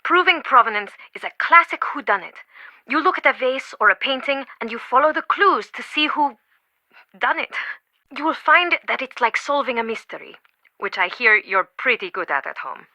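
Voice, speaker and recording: A woman's voice: high voice, expressive, normal speed, sharp tone, no echo, full character voice, low-quality recording. An actress playing an earnest, devoted and easily affronted woman museum curator in a video game, with dramatic, emphatic delivery. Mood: explanatory.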